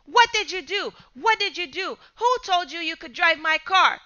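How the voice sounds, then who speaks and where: A woman voicing an angry middle-aged father who shouts and scolds in a storybook reading. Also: extremely high pitch, lively intonation, normal speed, dull audio, voice pushed up, heavily acted.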